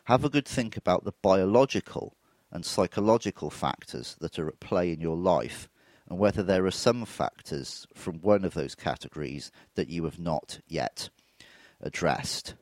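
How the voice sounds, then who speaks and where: Deep-voiced man with throaty flu. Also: expressive, moderate pace, a little mellow, dry recording. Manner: reflective, candid, self-deprecating. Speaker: podcast host. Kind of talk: solo podcast monologue.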